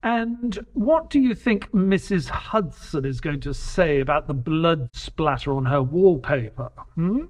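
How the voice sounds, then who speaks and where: A man acts a proper, slightly exasperated companion who also narrates an audio play. His voice sits on the high side and moves expressively, with slow, precise, polite phrasing. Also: lively intonation. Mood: questioning. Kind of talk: audio drama acting and first-person narration.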